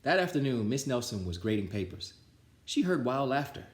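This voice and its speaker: A man reading a children's picture book aloud with an animated, theatrical delivery. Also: medium pitch, normal speed. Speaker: storytime reader. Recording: roomy, echoing sound.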